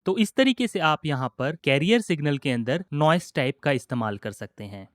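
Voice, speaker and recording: A man with an Indian accent giving a methodical step-by-step software tutorial as an instructor. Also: on the high side, lively intonation, normal speed, dry recording, studio quality.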